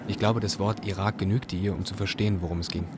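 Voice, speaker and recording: A man's voice: low-pitched, steady tone, fast, noisy background, close to an everyday voice. An actor playing a young, weary Iraqi refugee telling his story earnestly in a radio drama. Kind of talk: scripted radio drama narration.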